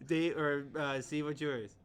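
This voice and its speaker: A man's voice: fairly high pitch, fairly even intonation, takes it slowly. A man chatting casually with his co-hosts, often hesitating and trailing off into fillers. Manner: chatty, hesitant, laughing asides. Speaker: show co-host. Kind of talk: casual banter among co-hosts.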